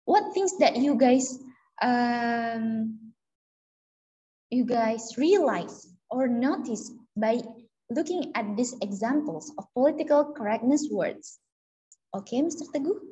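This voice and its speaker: A woman leading an online class discussion on a video call, talking slowly with fillers and quiet acknowledgements. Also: a little high, expressive, muffled recording. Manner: slow, with fillers and soft backchannels. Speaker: class facilitator.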